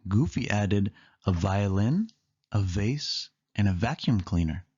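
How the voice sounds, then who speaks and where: A storyteller reading a children's book aloud in an animated way, lingering on listed words. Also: deep voice, slow, husky, highs cut off.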